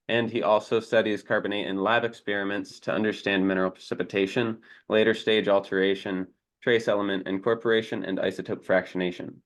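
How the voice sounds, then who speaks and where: Low-voiced man introducing a seminar speaker. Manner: reads aloud with small slips and self-corrections. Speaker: seminar host. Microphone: video call.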